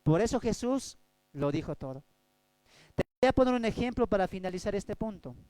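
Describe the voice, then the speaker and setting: A man from Bolivia, preaching a Bible study sermon as a pastor, swinging between loud and soft delivery. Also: sits high, animated, moderate pace, clean tone, dry recording.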